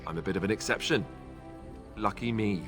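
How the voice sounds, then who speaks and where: An actor playing a wry, self-deprecating warrior in a video game, explaining things with dry sarcastic asides. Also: male voice, on the low side, brisk, close to an everyday voice. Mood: sardonic. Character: wry young male ex-Templar warrior, sardonic, self-deprecating. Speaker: former Templar. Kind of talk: video game character dialogue. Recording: prominent music behind the voice.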